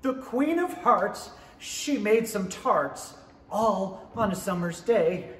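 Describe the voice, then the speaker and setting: A man's voice: sits high, animated, takes it slowly. A man playing a fussy, officious rabbit court herald in a 1930s-style stage radio play. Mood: proclaiming. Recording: echoey theatre stage, brass music.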